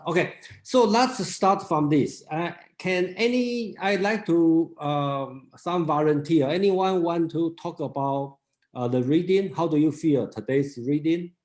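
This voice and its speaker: A man leading a reading discussion group, halting and searching for words as he talks. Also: on the high side, darkish tone. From China. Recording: roomy echo.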